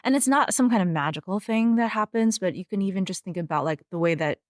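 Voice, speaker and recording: A woman's voice: medium pitch, lively intonation, fast, dry recording, clean studio sound. A woman hosting a podcast interview, speaking in a thoughtful, conversational way with many fillers. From of Taiwanese family background. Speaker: podcast host. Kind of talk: casual interview.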